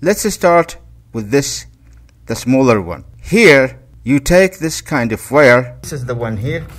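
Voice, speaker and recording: A man's voice: mid-range voice, expressive, slow, fairly bright tone, slightly rough. A man narrating a hands-on tutorial as an instructor, going step by step, repeating instructions and checking in as he goes. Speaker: DIY electronics instructor.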